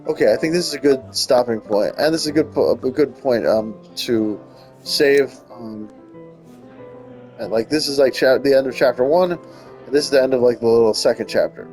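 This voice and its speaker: A man's voice: medium pitch, moderate pace, a little mellow. A man hosting a gaming video, reading game text aloud and adding casual comments. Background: game music.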